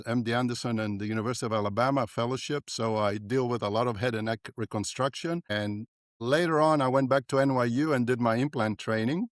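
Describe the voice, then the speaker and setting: An older man from South Africa, a dentist guest in a podcast interview, talking in an animated way and often tagging his points with "right?". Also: medium pitch, dry recording, clean studio sound.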